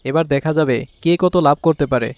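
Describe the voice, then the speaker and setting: Higher-pitched village husband played by a man, noisy birdsong. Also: fast talker, darkish tone, close to an everyday voice. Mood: practical, upbeat. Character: village husband of two wives, fish farmer, peacemaking. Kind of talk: Bangla cartoon voice acting.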